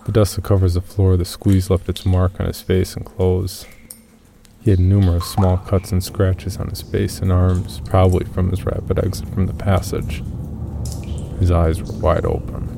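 A man with a gravelly voice reading a first-person horror story in a subdued, measured way. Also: deep voice, little pitch movement, noisy background.

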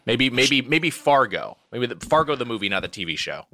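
A man's voice: mid-range voice, big pitch swings, fairly quick, sharp tone, dry recording, studio quality. A man guesting on a podcast, rambling and self-deprecating, with a slightly froggy throat and lots of hesitant fillers. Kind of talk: casual podcast chat.

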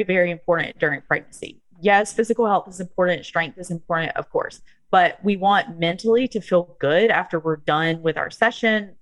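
A woman in her thirties with a Southern US accent, chatting as a guest on a podcast. Her voice sits a little low, and she talks in an easy, rambling way, full of "um" and "like". The sound is close and dry, with no room echo. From southern United States. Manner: chatty, laughs often, lots of um and like. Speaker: guest, strength coach. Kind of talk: casual podcast interview.

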